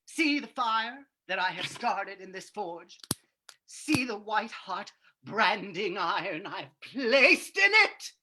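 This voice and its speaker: A woman's voice: mid-range voice, very animated, noisy background. A woman playing a vengeful, murderous male villain in a theatrical, menacing old-time radio drama reading. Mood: gloating menace. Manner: theatrical, menacing, drawn-out delivery.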